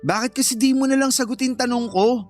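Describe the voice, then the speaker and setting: A man acts a jealous working-class Filipino live-in boyfriend in an audio drama. He is plain-spoken and slow-witted, with a whiny, accusing way of talking. Soft music plays faintly behind him. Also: extremely high pitch. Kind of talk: Filipino radio-style drama acting.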